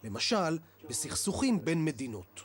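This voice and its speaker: Very fast Israeli male reporter. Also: on the high side, sing-song, a crowd in the background. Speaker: reporter, narrator. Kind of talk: scripted documentary narration. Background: music.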